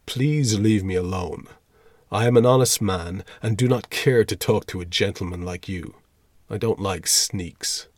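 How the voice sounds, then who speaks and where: Guy doing a grumpy young male teacher for an audiobook, low bass, cold n curt, hot tempered. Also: moderate pace, hardly any pauses, breathy edge, dry recording. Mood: angry, contemptuous.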